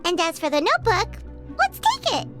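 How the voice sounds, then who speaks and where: An extremely high, childlike fairy girl chatters like a game companion. The squeaky voice is animated and excitable, bouncing widely up and down, with music playing clearly behind it. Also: moderate pace, full character voice. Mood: curious, eager. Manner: speaks of herself in the third person, animated. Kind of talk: video game voice acting.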